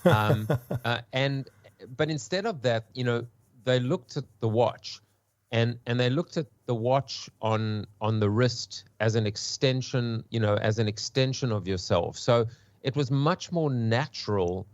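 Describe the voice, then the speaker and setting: A man's voice: medium pitch, a little slow, no room sound, lo-fi sound. A man with a South African accent, a guest on a podcast panel, talking in a hesitant way with many restarts. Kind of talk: casual podcast discussion.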